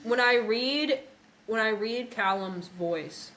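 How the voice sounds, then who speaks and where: A woman's voice: fairly high pitch, slow pace, fairly bright tone. A woman co-hosting a podcast in casual, conversational chat, with some noise behind her. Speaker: podcast co-host.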